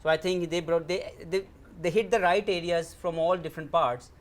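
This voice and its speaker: A man's voice: fairly high pitch, steady tone, normal speed. A man giving his opinions as a sports panel pundit. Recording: faint background noise.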